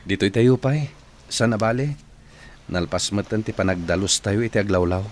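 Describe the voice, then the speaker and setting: Earnest young suitor, lowish male voice, acted. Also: normal speed, slight background noise, natural voice. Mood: reflective. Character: earnest young village suitor, sincere and patient. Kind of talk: Ilocano radio drama acting.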